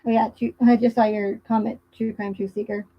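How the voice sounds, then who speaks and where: A woman's voice: medium pitch, little pitch movement, a little fast. A woman hosting a livestream, giving casual commentary in a conversational, emphatic way that repeats points for emphasis. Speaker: livestream host. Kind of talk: casual livestream commentary on a true crime case. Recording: muffled, dull lo-fi livestream audio.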